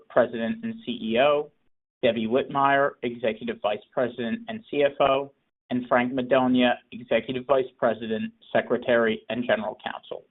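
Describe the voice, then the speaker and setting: A man working as an investor relations moderator, formally reading a scripted introduction and disclaimer. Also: mid-range voice. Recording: narrow conference phone line.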